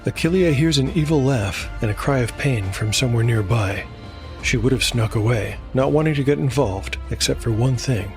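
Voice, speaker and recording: A man hosting and narrating a scripted dark fantasy tale in a measured, solemn storytelling manner. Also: mid-range voice. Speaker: host and narrator. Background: background music.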